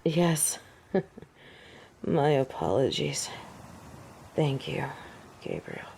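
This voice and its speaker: A woman's voice: deep voice, very animated, drawn-out words, vocal fry, mellow, a little hiss or hum, heavily acted. A woman playing a proud, imperious angel in a fantasy audio drama, with formal, solemn and haughty diction. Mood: weary, dry. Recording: roomy echo, distant from the mic.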